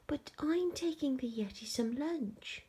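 An excitable young boy adventurer from a rhyming storybook, acted out by a woman, pitched very high. He exclaims keenly and minds his manners, with a lively swoop in the voice. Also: measured pace. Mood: apologetic. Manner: exclaiming, polite when apologising. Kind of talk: children's rhyming storybook character.

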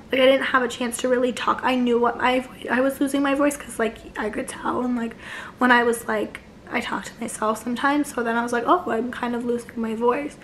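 A young woman in her twenties with a hoarse, failing voice, chatting casually to camera from her couch, rambling with many restarts. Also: on the high side, slight background noise. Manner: rambling, many likes and restarts, gestures a lot. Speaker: vlogger. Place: living room couch, indoors.

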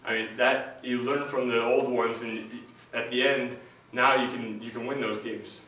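Young man, earnest postgame answers, flat. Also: medium pitch, moderate pace. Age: young adult. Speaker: college basketball player. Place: small room at a team backdrop. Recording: echoey room, distant mic, faint hiss.

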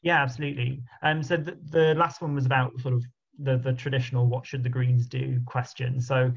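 A man's voice: medium pitch, level tone, a little fast, vocal fry. A man moderates a video call and reads out audience questions. He speaks hesitantly, with many "um"s and repeated words, in a level voice with little rise or fall. The call audio comes through dull and lo-fi.

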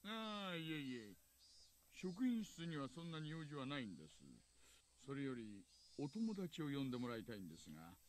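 A man voices an oily, genial middle-aged police detective from a visual novel. His slippery, drawling politeness rides on very animated pitch, over faint background ambience. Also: fast talker, a little hiss or hum, lightly acted. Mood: slyly polite. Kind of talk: Japanese visual novel voice acting.

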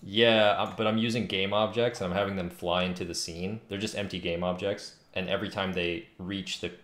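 A man's voice: deep voice, fairly even intonation, brisk, fairly bright tone. A man in his thirties talking casually and informally as he thinks aloud while livestreaming game development work. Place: indoor home room. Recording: roomy echo, faint noise, keyboard clicks. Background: faint noise, keyboard typing and clicks.

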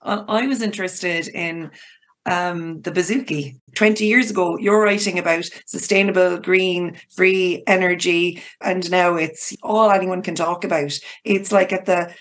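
A woman's voice: lowish voice, steady tone, unhurried, fairly bright tone. A woman co-hosting a podcast, interviewing in a conversational way.

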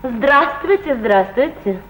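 Picture a fairly high-voiced older woman, an aging painter and mother of a famous pilot. She talks dreamily and theatrically, slipping into soft murmurs about her final days. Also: lively intonation, takes it slowly, full character voice, rough recording. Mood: wistful. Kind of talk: stage play acting.

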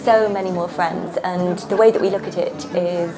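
Woman co-founder, enthusiastic and chatty, slightly low voice, music underneath. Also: fairly even intonation, moderate pace, rough recording. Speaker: brewery co-founder. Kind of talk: voice-over interview.

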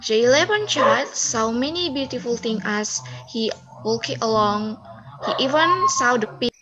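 A woman's voice: a little high, animated, a little slow, crowd noise, rough recording. A young woman reading scripted story narration as a storyteller on a video call. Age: young adult. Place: indoor room, on video call. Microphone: video call microphone.

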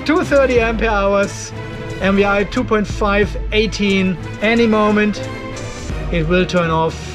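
An older man with a noticeably high voice talks through a hands-on tech demonstration. His delivery is informal and conversational, as if thinking aloud while he checks readings for viewers. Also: a little slow, over music. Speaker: host of an off-grid battery testing channel. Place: cluttered indoor garage workshop.

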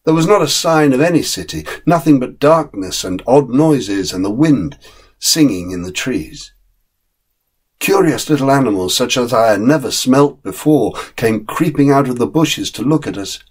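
Man playing loyal dog narrator, husky, plain-spoken, wistful, measured storybook telling. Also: mid-range voice, expressive. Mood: wistful, earnest. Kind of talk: dramatic short story reading.

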